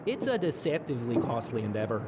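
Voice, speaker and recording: A man's voice: medium pitch, big pitch swings, fast talker, very noisy, natural voice. A man playing a mild, canny pirate bookkeeper who talks in a folksy, hesitant, drily understated way in an audio drama. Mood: matter-of-fact. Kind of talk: fantasy audio drama acting. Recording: loud ship and water ambience.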